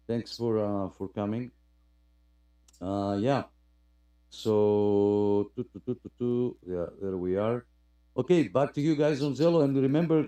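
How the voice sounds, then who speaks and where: A man hosting a radio club live stream in casual, halting, unscripted chat, often repeating his phrases. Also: medium pitch, warm tone, clear, resonant tone.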